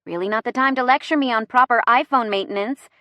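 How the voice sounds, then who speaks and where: A harried young woman at the wheel, acted by a female narrator in an audiobook. She speaks in a raised pitch, urgent, defensive and exasperated, with a dry, close sound. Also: fast, sharp tone, no echo.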